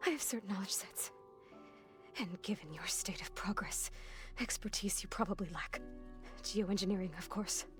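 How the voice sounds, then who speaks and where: A woman's voice: mid-range voice, normal speed, in a whisper, close to an everyday voice. A woman acting an anxious, bookish young runaway with brittle, precise speech in a video game cutscene. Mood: clipped, withdrawn. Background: music behind the voice.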